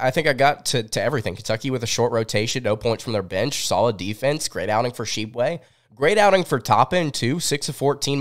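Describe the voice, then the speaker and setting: A man in his twenties hosts a live sports recap show, speaking informally and often thinking aloud. His voice sits at a medium pitch and sounds sniffly from a cold. He is close to a podcast microphone in a small studio. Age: 20s. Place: small indoor home studio. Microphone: close desk podcast microphone.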